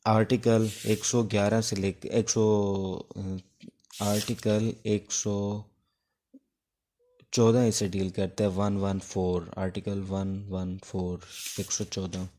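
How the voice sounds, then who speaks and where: Male law lecturer, Pakistani accent, low register and very even delivery, informal and explanatory, mixing in English terms. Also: takes it slowly, a little hiss or hum. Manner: explanatory, code-switches between Urdu and English. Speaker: law teacher and advocate.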